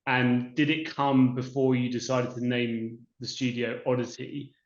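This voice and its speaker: A man's voice: mid-range voice, level tone, unhurried. A man asking a long, rambling question, hedging often and restarting. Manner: hesitant, rambling, hedging with "sort of". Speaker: audience member asking a question. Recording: dull sound in a roomy space.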